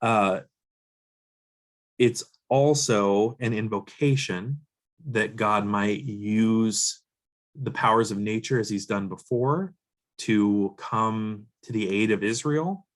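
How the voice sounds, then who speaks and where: Hoarse pastor, lowish voice. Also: male voice. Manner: measured, explanatory, reading scripture aloud then unpacking it. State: hoarse, losing his voice. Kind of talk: verse-by-verse sermon teaching.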